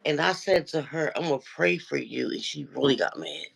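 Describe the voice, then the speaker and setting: A man with a deep, nasal voice who calls into a livestream and tells a story, acting out what other people said. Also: normal speed, vocal fry, husky, low-quality recording. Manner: animated storyteller, acts out quoted dialogue. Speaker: regular viewer calling into a livestream. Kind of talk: casual chat, retelling an online argument.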